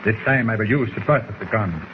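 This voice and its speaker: An actor playing a cold, gloating German villain in 1940s radio drama style. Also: male voice, lowish voice, lively intonation, brisk, close to an everyday voice. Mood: scornful. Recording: noisy muffled 1940s radio recording.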